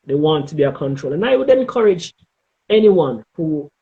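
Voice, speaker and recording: A middle-aged man with a Jamaican accent giving advice in an interview, speaking emphatically and deliberately and often checking in with "okay?". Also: a little high, very animated, narrow, tinny audio. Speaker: interview guest, former air traffic controller.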